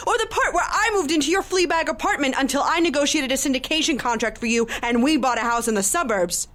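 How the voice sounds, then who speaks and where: An actress playing a sharp-tongued, middle-aged professional woman with wounded pride in an audio drama. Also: sits high, fast. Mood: indignant. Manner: sardonic, articulate. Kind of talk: audio drama acting and first-person narration.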